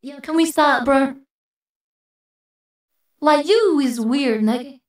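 A man voicing a brash, squeaky young woman through a female voice changer, bantering casually on a livestream. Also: slow pace. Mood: complaining. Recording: roomy, distant mic through voice changer.

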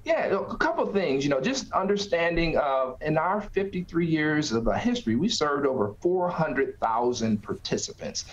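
A man's voice: fairly high pitch, very animated, moderate pace, few pauses. A middle-aged man, a nonprofit director, answering interview questions conversationally over a video call from an office. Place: small office, indoors. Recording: slightly dull video-call audio.

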